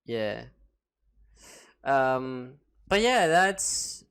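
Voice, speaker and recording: A man hosting a podcast in casual chat, excitable and loose-tongued, swearing often and restarting his words. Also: medium pitch, drawn-out words, creaky, clean tone. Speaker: podcast host.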